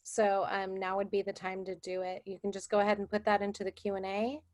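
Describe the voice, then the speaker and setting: A woman moderating a webinar over a video call in a brisk, informal way. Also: medium pitch, monotone, darkish tone.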